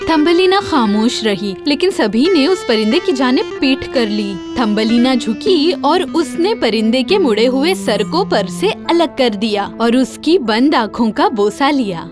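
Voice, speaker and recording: A woman's voice: high voice, lively intonation, hardly any pauses, over music. A woman narrating a scripted fairy tale for children as the storyteller.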